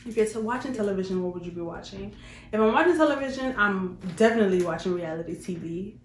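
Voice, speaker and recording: A woman's voice: medium pitch, lively intonation, fast talker. Young New woman, roomy sound. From Queens, New York, United States. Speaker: vlogger. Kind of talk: casual question-and-answer chat to viewers. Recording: roomy echo, faint background noise.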